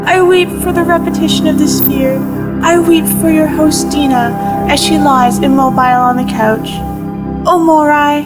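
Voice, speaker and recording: A woman's voice: high voice, fairly even intonation, moderate pace, lightly acted. A woman playing a mournful Greek chorus figure, declaiming grand laments to the gods in an audio play. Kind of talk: theatrical invocation in an audio play. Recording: loud instrumental music behind.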